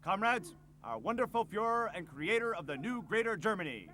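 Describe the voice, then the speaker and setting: A man's voice: high-pitched, very animated, fairly quick, pushed and bright, heavily acted. A man voicing a menacing, fanatical political orator in a translated speech, declamatory and emphatic. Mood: exultant. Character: menacing, fanatical male fascist orator addressing comrades.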